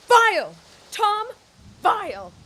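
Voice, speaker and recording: A woman's voice: very high-pitched, huge pitch swings, drawn-out words, fairly bright tone, slight background noise, full character voice, rough recording. An actress playing a proud, wounded young society woman in a period audio drama, speaking in a formal, theatrical, haughty way. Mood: outraged.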